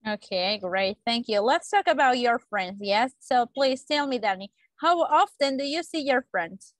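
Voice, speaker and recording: A woman's voice: on the high side, animated, a little slow, no room sound. A woman interviewer asking short structured questions and acknowledging each answer briefly. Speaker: interviewer, teacher.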